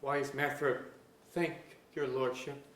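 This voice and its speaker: A man's voice: mid-range voice, little pitch movement, takes it slowly, fairly bright tone. A man playing an anxious, devoted king in a staged reading of archaic verse drama. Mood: troubled. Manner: formal archaic verse delivery. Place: indoor auditorium stage. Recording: echoey auditorium.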